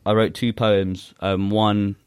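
Deep, flat-voiced young man. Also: clear, resonant tone, dry recording, studio quality. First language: Urdu. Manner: earnest, halting with many fillers. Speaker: poet guest. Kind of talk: casual chat and spoken-word poetry recital.

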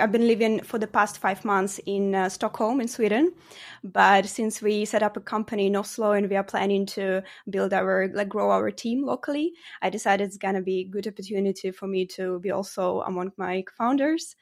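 A woman with a Ukrainian accent giving conversational interview answers as a startup guest, often pausing mid-phrase. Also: mid-range voice.

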